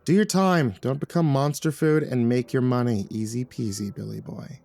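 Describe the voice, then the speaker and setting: A man's voice: mid-range voice, normal speed, creaky, darkish tone, no echo, soft background music, natural voice. A man voicing a brash, foul-mouthed tough woman in an audiobook, barking and sardonic, with scornful teasing. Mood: sardonic, reassuring. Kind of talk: audiobook character voice.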